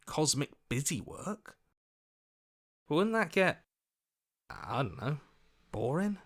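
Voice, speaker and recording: A man's voice: fairly high pitch, a bit bright, heavily acted. A man playing an earnest, hopeful hero's inner voice in a video game, questioning and pleading as he talks. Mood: reasoning, incredulous.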